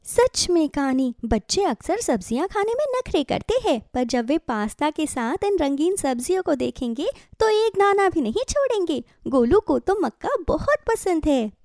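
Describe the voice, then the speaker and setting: A woman voicing a kind, cheerful mother bird in a children's cartoon, speaking in a gentle, encouraging way. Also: sits high, very animated, normal speed, hardly any pauses, dry recording, studio quality. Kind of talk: Hindi animated children's story dialogue.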